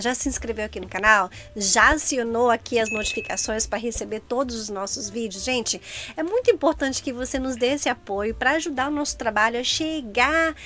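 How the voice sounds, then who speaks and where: A middle-aged Brazilian woman co-hosting a casual chat, her voice sitting high. She talks in a chatty way with a Brazilian Portuguese accent and speaks straight to viewers. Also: a bit bright. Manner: chatty, addresses viewers directly. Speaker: co-host.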